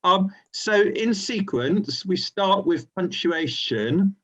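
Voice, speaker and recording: The sound is a little dull and lo-fi, like an online call. A man with a somewhat higher-than-average voice moderates informally, introducing and reading out questions from participants. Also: expressive, slow pace, fairly bright tone. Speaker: host relaying audience questions.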